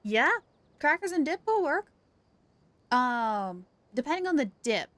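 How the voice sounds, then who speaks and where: A woman's voice: high-pitched, very animated, measured pace, fairly bright tone, no echo. A woman live streamer chatting casually and playfully with her viewers.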